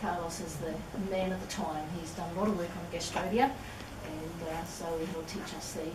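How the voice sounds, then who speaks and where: A woman's voice: lowish voice, steady tone, moderate pace. A woman, introducing a guest speaker at an event in a cheerful, enthusiastic manner. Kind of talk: introduction speech. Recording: distant roomy recording with noise.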